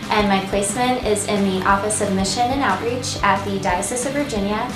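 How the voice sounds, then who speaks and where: A woman's voice: mid-range voice, fairly quick. An American woman in her twenties giving an unscripted personal testimonial as a service intern. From Massachusetts, United States. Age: 20s. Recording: roomy, distant mic, music behind.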